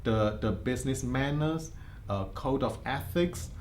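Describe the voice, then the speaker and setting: A middle-aged man with a Hong Kong Cantonese accent talks about his life in an interview, and he sounds earnest. His voice sits at an ordinary middle pitch, and his phrasing stops and restarts with plenty of fillers. A faint noise runs under the recording. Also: expressive, measured pace, darkish tone. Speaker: insurance agency head.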